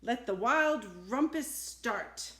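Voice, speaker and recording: A woman voicing a bold, commanding little boy from a storybook, giving loud, emphatic orders. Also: fairly high pitch, lively intonation, slow, a little hiss or hum, pitched above the performer's own voice. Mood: excited command. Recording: echoey, roomy sound.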